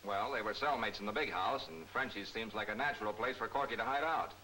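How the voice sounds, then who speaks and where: Fast-talking, low-voiced American detective. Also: male voice, bright, forceful tone, slight background noise, poor audio. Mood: businesslike. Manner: clipped, matter-of-fact, hard-boiled. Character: brisk, confident, wry American police detective. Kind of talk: 1940s movie serial acting. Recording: old 1940s film soundtrack, music behind.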